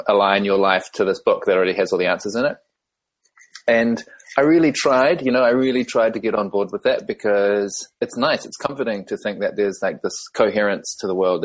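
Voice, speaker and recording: A man from New Zealand with a New Zealand accent, a podcast guest talking through his background in a thoughtful, measured way with frequent ums. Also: on the low side. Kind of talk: casual interview conversation.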